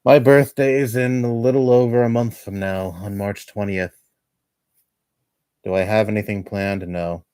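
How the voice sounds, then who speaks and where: A man in his twenties chatting casually on a livestream at home, rambling and laughing softly between thoughts. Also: fairly low pitch, flat delivery, moderate pace, clean tone, no echo. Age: 20s. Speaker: livestreamer reading chat. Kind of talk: casual chat.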